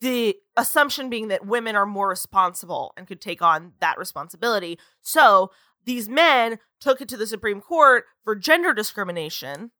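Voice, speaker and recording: A woman in her twenties co-hosting a casual podcast, leading the discussion as a lively storyteller. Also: mid-range voice, moderate pace, no room sound. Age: 20s. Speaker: podcast co-host.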